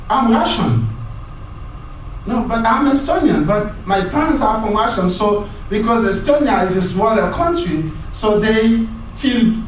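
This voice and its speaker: High-voiced male lecturer in echoey room. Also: slight background noise. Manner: halting, repeats words while searching. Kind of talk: university lecture. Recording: very echoey room, mic far off.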